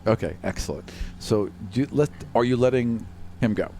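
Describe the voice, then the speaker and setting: A man's voice: mid-range voice, normal speed, warm tone. A man acting as game master, improvising narration and chatting casually with players, with some background noise.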